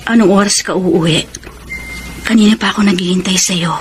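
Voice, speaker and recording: Woman acting a meek worried wife in a radio drama, mid pitch, little bit of noise behind. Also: steady tone, moderate pace, natural voice. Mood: curious, suspicious. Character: meek, suspicious betrayed wife, gentle and troubled.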